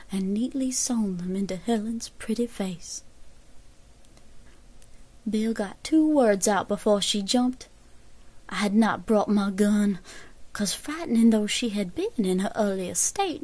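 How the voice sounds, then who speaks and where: An actress playing a grave 1870s American woman survivor, giving a measured, formal account in an audio drama. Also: mid-range voice, a little mellow. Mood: grave, hushed. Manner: measured, formal old-fashioned storytelling.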